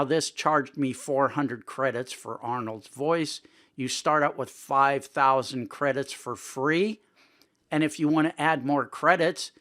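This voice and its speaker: A man's voice: medium pitch, fairly bright tone. A man presenting a casual tutorial in a conversational way, thinking aloud as he goes. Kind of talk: casual screen-recording tutorial talk.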